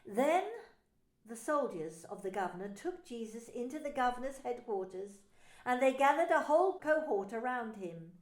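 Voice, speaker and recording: A woman's voice: a little high, animated, moderate pace. A woman minister narrating a dramatised scripture story, telling it expressively. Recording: roomy echo.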